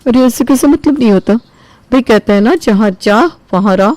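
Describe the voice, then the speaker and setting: A woman's voice: a little high, normal speed, darkish tone, no echo, pitched above the performer's own voice, natural voice. A woman voicing a regretful, feisty younger sister-in-law with dramatic exclamations in a cartoon family story. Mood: insistent. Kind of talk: acted dialogue in a Hindi cartoon family story.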